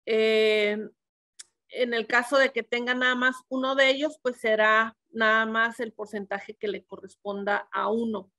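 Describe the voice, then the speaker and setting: A woman's voice: fairly high pitch, flat delivery, unhurried, sharp tone. A middle-aged woman from Mexico giving a webinar, methodically explaining pension rules, with frequent fillers and self-corrections.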